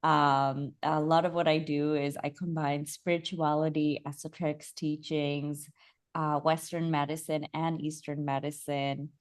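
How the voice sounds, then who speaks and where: A young woman from Bangladesh, a holistic coach, talking about her life in a soft, reflective way with many fillers and restarts. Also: low-pitched, little pitch movement, a little slow. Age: young adult. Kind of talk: casual interview chat.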